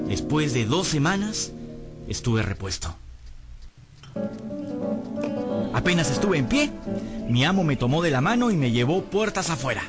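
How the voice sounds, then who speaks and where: An actor playing a battered, hapless young servant rogue who tells his own story wryly in a radio drama. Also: a little high. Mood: wry, plaintive. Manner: wry storytelling, whimpering and stammering when cornered. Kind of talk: dramatised first-person narration in radio drama.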